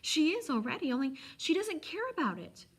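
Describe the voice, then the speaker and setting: A woman voicing an eager, nervous, lovesick young man in a storybook reading, hesitant and easily excited. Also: high voice, brisk, voice pushed up. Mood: doubtful, excited. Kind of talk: storybook read-aloud.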